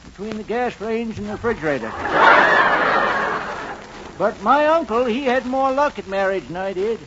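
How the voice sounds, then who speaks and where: A man's voice: high-pitched, expressive, moderate pace. An actor playing a rambling, folksy older married man in a 1940s radio comedy. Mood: reminiscing. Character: rambling, long-married older neighbor man, drily comic. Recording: muffled, hissy 1940s radio recording.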